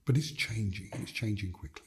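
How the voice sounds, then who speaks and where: An older man from Gambia, a leadership coach, talking in an interview in a measured, emphatic way that builds points through repeated phrases. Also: fairly low pitch. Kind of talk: reflective interview conversation.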